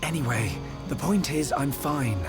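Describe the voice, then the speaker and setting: A man's voice: fairly high pitch, unhurried. A man with an English accent playing an earnest, thoughtful young inventor in a video game. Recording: orchestral music behind the voice.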